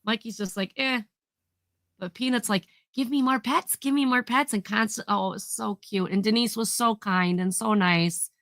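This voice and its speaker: Woman, head cold, gravelly, bright tense tone, upbeat chatty storytelling. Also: medium pitch, normal speed, dry recording, studio quality. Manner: chatty and upbeat, laughs while telling stories. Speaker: co-host guest. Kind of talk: casual chat, telling a travel story.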